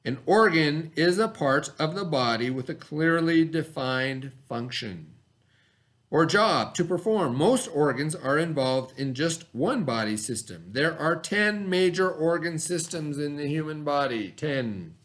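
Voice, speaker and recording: A man's voice: fairly high pitch, animated, fairly bright tone. A man teaching children by reading a lesson aloud, in an instructional way that mixes reading with asides and questions. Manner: instructional, pausing to pose and answer questions.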